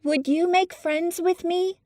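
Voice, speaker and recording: A woman voicing a sharp, curious young girl with a high, eager, exclaiming voice. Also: big pitch swings, a little slow, no room sound, clean studio sound, full character voice.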